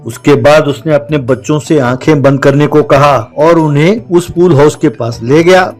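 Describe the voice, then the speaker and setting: Higher-pitched male storyteller over music. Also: moderate pace. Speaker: storyteller, narrator. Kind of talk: scripted children's cartoon story narration.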